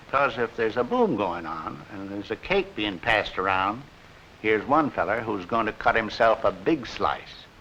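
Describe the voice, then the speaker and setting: An eager small-town American gas station dealer with a bright, forceful voice and lively swings of pitch. He pitches in homespun, colloquial phrases like a 1940s promotional film. The old soundtrack is hissy and dull. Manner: folksy, colloquial, emphatic salesman talk. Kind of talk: 1940s sponsored film acting, scripted pitch.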